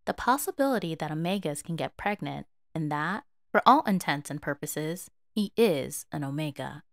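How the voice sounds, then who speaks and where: Expressive female narrator, dry close sound. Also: low-pitched, moderate pace, vocal fry, dry recording. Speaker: podfic narrator. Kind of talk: expressive scripted story narration.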